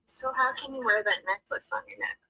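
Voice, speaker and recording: A woman's voice: on the high side, brisk, sharp tone, poor audio. A woman in a casual street conversation who talks insistently and keeps questioning.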